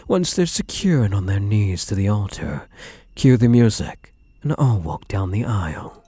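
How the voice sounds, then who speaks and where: A man playing a possessive, obsessively doting kidnapper in an intimate ASMR roleplay, hushed and menacingly tender, often slipping into whispers. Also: on the low side, big pitch swings, normal speed, husky, no room sound. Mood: briskly commanding. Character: possessive male yandere kidnapper, obsessively doting on captive spouse.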